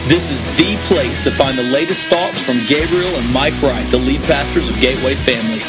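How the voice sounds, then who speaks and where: A male announcer reads a scripted podcast intro in a smooth, measured way. His voice sits at a middle pitch. Loud plucked guitar music plays behind him. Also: rough recording.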